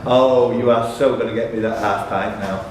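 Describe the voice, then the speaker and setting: A man's voice: medium pitch, steady tone, moderate pace. A male pub quiz co-host with a mid-range voice, casually bantering and calling out scores. He sounds away from the mic in a heavily echoing room. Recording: distant, very echoey, noisy room.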